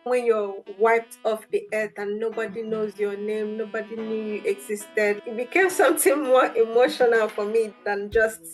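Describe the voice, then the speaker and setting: A woman's voice: on the high side, steady tone, normal speed, few pauses, faint music behind, rough recording. A young woman with a Nigerian accent, a guest in an interview, earnest and reflective with light amusement. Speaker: guest, language advocate. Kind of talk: interview answers.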